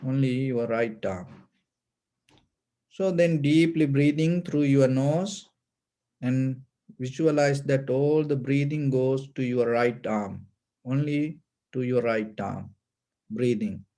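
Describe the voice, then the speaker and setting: A man giving guided meditation instruction as a teacher, speaking in a calm, gentle, deliberate way. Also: medium pitch.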